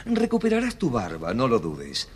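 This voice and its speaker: Very animated crafty young hero. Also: male voice, mid-range voice, moderate pace, noisy background. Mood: mock-polite. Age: young adult. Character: crafty young musician hero, teasing and confident. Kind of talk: dramatized children's storybook record.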